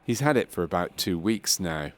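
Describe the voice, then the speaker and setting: Male narrator for medical training film: lower register, measured, clinical, scripted. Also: lively intonation.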